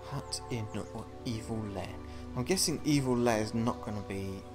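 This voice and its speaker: A man playing a video game, reading its text aloud and thinking out loud with casual asides. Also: medium pitch, normal speed, music in the background. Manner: thinking aloud between read passages. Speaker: video game player and commentator.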